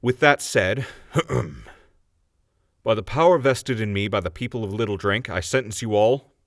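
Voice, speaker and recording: A man's voice: lowish voice, dry recording, studio quality, close to an everyday voice. A man playing a stern town judge. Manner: formal, measured, scolding.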